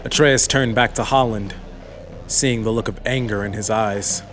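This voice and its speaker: A man's voice: on the low side, fairly even intonation, normal speed, music in the background. A man narrating a scripted fantasy audiobook as the storyteller.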